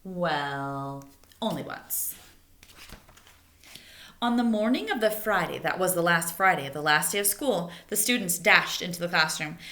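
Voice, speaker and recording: A woman's voice: fairly low pitch, pushed and bright. A woman reading a children's picture book aloud like a teacher, expressively, with asides to young listeners. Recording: echoey, roomy sound.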